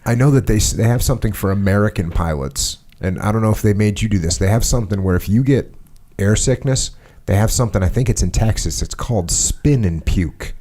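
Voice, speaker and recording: Patient low-voiced male podcast host. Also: a little fast, hardly any pauses, warm tone. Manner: patient, plain-spoken questioning. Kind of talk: interview questions.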